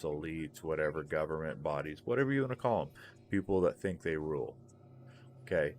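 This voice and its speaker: A man in his forties hosting a gaming stream, ranting in a profane, rambling, animated way during casual voice chat. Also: low-pitched, a little hiss or hum. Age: 40s. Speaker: streamer host.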